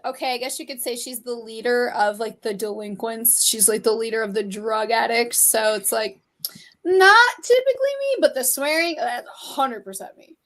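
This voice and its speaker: A woman's voice: fairly high pitch, moderate pace, fairly bright tone. A woman in her thirties, a guest in a casual interview, chatty, laughing often and swearing freely. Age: 30s. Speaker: guest, horror actress and model.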